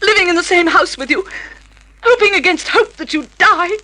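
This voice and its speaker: A high, venomous scheming wife. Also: female voice, expressive, normal speed, pushed and bright, exaggerated voice, low-quality recording. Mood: scornful, venomous. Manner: theatrical, venomous. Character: bitter, scornful scheming wife caught in murder plot. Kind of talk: old-time radio drama acting.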